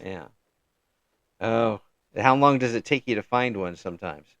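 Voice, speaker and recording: A middle-aged man hosting an interview in a casual, chatty way, often restarting sentences and answering with quick yeses. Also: fairly low pitch, fairly bright tone, no room sound. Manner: chatty, frequent restarts and affirming "yeah"s. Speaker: interview host.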